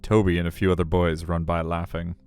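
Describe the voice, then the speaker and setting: A middle-aged male streamer reads a visual novel and chats casually between passages. His voice is deep and resonant, with faint music playing underneath. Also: flat delivery, a little fast, darkish tone, clear, resonant tone. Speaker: game streamer. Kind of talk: live stream chat and visual novel narration.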